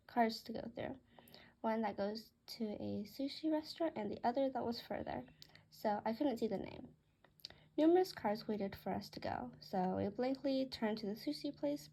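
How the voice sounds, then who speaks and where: A woman reading her own memoir aloud as a narrated story, with a husky, creaky voice. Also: a little high, mellow.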